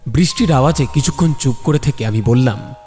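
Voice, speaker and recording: A man narrates a detective story in a scripted, dramatic style. His mid-pitched voice is dark and mellow, set in a long reverb with soft music behind it. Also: brisk.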